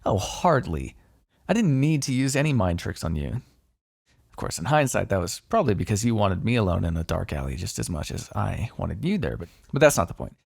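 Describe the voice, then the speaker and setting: Male vampire, played by a man for ASMR roleplay: sardonic, secretly fond, very animated pitch, creaky low edge. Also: a little fast, no echo, clean studio sound, full character voice. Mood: smug, hungry. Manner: sardonic, conversational, teasing, with sighs and swearing. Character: smug, teasing male vampire, wry and grudgingly fond. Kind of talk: intimate ASMR roleplay monologue.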